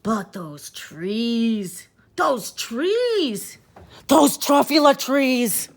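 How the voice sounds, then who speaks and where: A woman's voice: on the high side, very animated, drawn-out words, pitched above the performer's own voice, heavily acted. A woman voicing a greedy, boastful old tradesman in a storybook read-aloud, theatrical and emphatic, stretching out words. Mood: wistful, awed.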